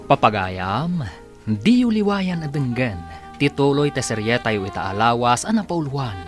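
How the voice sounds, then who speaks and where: A man's voice: mid-range voice, very animated, normal speed. A man with an Ilocano accent narrating and announcing a radio drama in a dramatic scripted style. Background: music.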